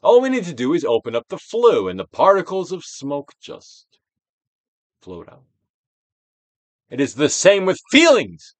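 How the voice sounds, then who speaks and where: A man giving a dramatic, theatrical reading of a self-help book as a guided exercise, with deliberate, swelling and falling emphasis. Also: fairly high pitch, huge pitch swings, no room sound. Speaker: narrator, audiobook reader.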